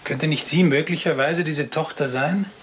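An earnest polite secretary in a radio mystery, sharp bright voice, slightly high. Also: male voice, normal speed, a little hiss or hum. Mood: earnest, puzzled. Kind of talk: German radio crime drama acting.

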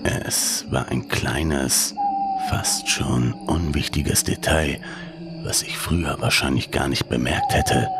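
A man reads a first-person horror tale in a very deep, creaky voice. He takes his time, measured and suspenseful, letting phrases sink into breathy hush. The delivery is slow and scripted. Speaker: horror story narrator.

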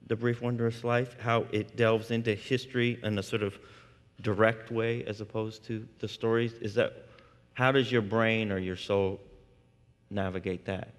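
A man's voice: lowish voice, flat delivery, normal speed. A man in his forties interviewing an author on stage, asking long, thoughtful, rambling questions. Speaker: onstage interviewer. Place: large theater stage. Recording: long hall reverb.

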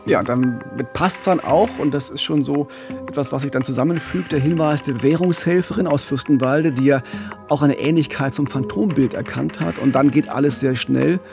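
A man's voice: on the high side, normal speed, creaky, music in the background. A man in his forties telling a true-crime story conversationally as a podcast host, close on a studio microphone. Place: radio studio. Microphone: close studio microphone with pop filter.

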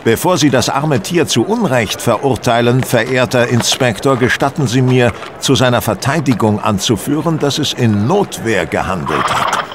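An actor playing a self-assured, coolly superior detective in a German radio play, explaining with courteous precision and theatrical flair. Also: male voice, medium pitch, animated, normal speed, few pauses, background noise, close to an everyday voice. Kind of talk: German audio drama acting.

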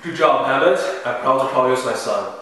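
A man's voice: mid-range voice, lively intonation, fairly quick, background noise, natural voice. A middle-aged man playing a commanding, scheming king overseeing a duel in an amateur home-video drama, recorded far off in an echoey basement. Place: large basement room indoors.

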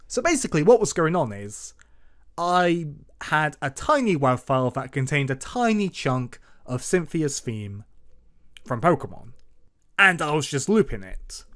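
A man's voice: on the high side, fairly bright tone. A man hosting an informal programming tutorial as a voice-over, playful and lightly sarcastic in manner. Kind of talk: informal screen-recorded tutorial narration. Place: voice-over for screen recording.

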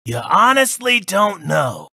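A man's voice: sits high, big pitch swings, slow pace, gravelly, pushed and bright, breathy edge, no echo, clean studio sound, heavily acted. An actor playing a chatty, teasing old male store attendant in a visual novel, with folksy colloquial speech. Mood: teasing, storytelling.

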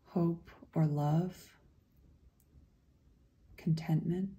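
A woman with a very soft, dark, relaxed voice guides a meditation. Her pitch sits low, and she speaks gently and without hurry, leaving long, soothing pauses between phrases. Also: highs cut off.

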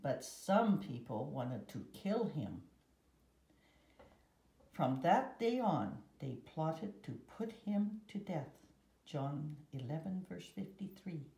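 Mellow older woman reading slowly aloud. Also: low voice, very animated, gravelly. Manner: measured, careful reading in short phrases. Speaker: guest story reader. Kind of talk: read-aloud children's picture book with Bible verses. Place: room at home. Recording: roomy echo.

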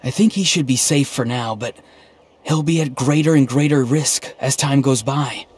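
A man's voice: medium pitch, moderate pace, a little mellow, slightly rough, no room sound, natural voice. An actor playing an earnest, naive young man in a video game, speaking in a literal, understated way. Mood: earnest, pleading. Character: earnest, naive, deadpan young man, an odd gentle hero.

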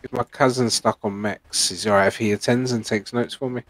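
A man's voice: fairly low pitch, steady tone, brisk, fairly bright tone. A man chatting casually with a fellow player during an online roleplay game. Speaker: roleplay gamer playing a police trainee.

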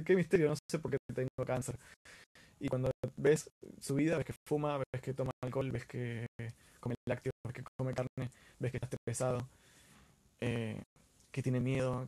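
An Argentine man hosts a live stream in a casual, earnest way. His voice has a middle pitch. He speaks informally and leans on repeated words to drive points home. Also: a little mellow, dry recording. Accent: Argentine Spanish.

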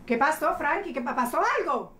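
An actress playing a distraught young wife who has just learned her husband was shot, talking fast through tears. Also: high-pitched, animated, bright, forceful tone, reverberant, a little hiss or hum. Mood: frantic. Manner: frantic, pleading, sobbing. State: crying.

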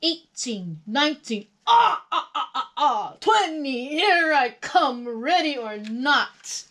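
A woman's voice: high voice, sing-song, takes it slowly, fairly bright tone, voice pushed up, exaggerated voice. A woman voicing a jolly male vampire puppet from a children's storybook, grand and theatrical, obsessed with numbers. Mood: gleeful counting.

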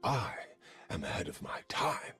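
An actor playing an arrogant, theatrical villain artist in a video game, haughty, cold and dramatic. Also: male voice, on the low side, big pitch swings, unhurried, bright, forceful tone, faint music behind, exaggerated voice. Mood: cold disdain.

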